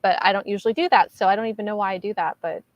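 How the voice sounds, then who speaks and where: A woman's voice: mid-range voice, steady tone, racing, highs cut off, a little hiss or hum. A woman chatting casually about books as a livestream co-host, in a hesitant, rambling way sprinkled with "like". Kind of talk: casual book chat.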